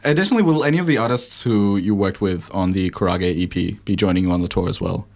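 Fast-talking deep-voiced male interviewer. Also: gravelly, a little mellow. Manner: quick, chatty, hedging with fillers. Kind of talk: interview questions.

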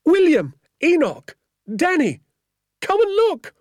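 A man voicing an indignant, excitable British vicar's wife in a dramatic, wailing manner for an audiobook. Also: sits high, a little fast, no echo, clean studio sound, pitched above the performer's own voice. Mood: excited calling. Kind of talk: audiobook character voice.

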